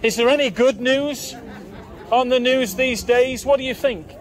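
A man's voice: very high voice, a little slow. A man preaching in the open air on a busy town market street, firing repeated questions at passers-by. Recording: outdoor street with faint crowd.

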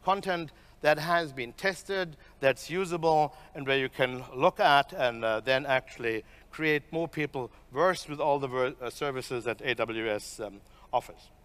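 A man's voice: mid-range voice, measured pace, fairly bright tone, clean tone. A middle-aged man presenting a keynote talk on a conference stage as a professor, speaking into a headset mic. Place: large conference stage, indoors.

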